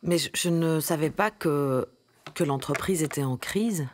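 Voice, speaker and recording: An actress playing a naive, timid employee in a satirical radio drama, speaking haltingly and deferentially, as if asking permission. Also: female voice, low voice, darkish tone. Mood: unsure, deferential. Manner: hesitant, halting, deferential, questioning. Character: timid, naive female employee being coached.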